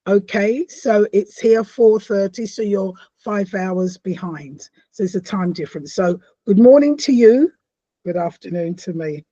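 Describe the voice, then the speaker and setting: Woman, interview host, chatty and welcoming, soft mellow tone, muffled lo-fi call sound. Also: mid-range voice, a little slow, dry recording. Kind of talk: conversational interview.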